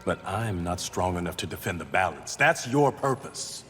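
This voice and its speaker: A low-voiced, wise refugee mentor. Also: male voice, animated, moderate pace, fairly bright tone, long reverb tail, soft background music. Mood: earnest. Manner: philosophical, encouraging, wry. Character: wise, hopeful human male refugee mentor. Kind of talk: video game cutscene acting.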